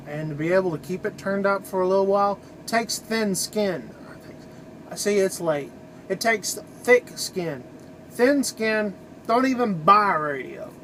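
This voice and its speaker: A high-voiced man talks in a loose, folksy vlog style. He is informal and opinionated, rambling along conversationally. A faint steady hum runs behind his voice. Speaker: trucker video blogger. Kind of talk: casual rambling vlog monologue.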